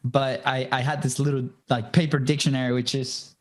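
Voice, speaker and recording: A man's voice: medium pitch, level tone, normal speed. A man in his thirties from Venezuela with a Venezuelan Spanish accent, a native Spanish speaker, telling his life story as a podcast guest in a conversational way with many fillers and restarts. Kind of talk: casual storytelling interview.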